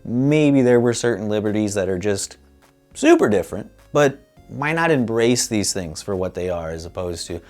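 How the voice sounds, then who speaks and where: A man's voice: fairly low pitch, animated, moderate pace, a little mellow. A man in his thirties co-hosting a casual movie chat, speaking in a playful, emphatic, conversational way. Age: 30s. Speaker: co-host. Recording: music playing behind. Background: background music.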